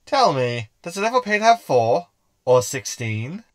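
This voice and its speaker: A man's voice: on the high side, very animated, normal speed, no room sound, clean studio sound. A man playing a sarcastic, querulous hologram sidekick who is skeptical and easily unnerved, in a science fiction comedy audio drama. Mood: indignant.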